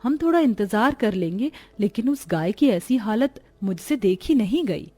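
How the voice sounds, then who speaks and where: A woman voicing a kind, devout village wife in a cartoon story, speaking softly and with compassion. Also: mid-range voice, expressive, moderate pace, husky. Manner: soft, compassionate.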